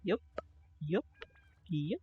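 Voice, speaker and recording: A woman's voice: fairly low pitch, lively intonation, very slow, husky. A woman giving casual live gameplay commentary, chatty and informal, often muttering reactions to herself. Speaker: game streamer. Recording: slightly dull stream mic.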